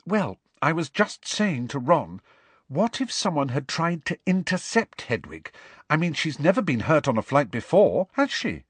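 A man's voice: fairly high pitch, big pitch swings, normal speed, slightly rough, no echo, voice pushed up. A man voicing an anxious, earnest, bookish teenage schoolgirl in an audiobook.

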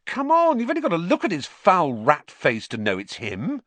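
A man's voice: on the high side, wildly swooping pitch, normal speed, fairly bright tone, dry recording, voice pushed up. A man voicing a hot-tempered young schoolboy in an audiobook, blunt, defiant and sarcastic. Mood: scornful. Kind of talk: audiobook character acting.

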